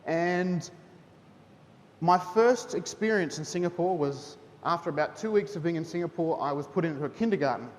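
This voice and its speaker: A man's voice: lively intonation, moderate pace. A man, a teacher speaking at a school chapel in a chatty manner, often asking "okay?". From New Zealand. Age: 30s. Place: school hall. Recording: hall reverb, faint rumble.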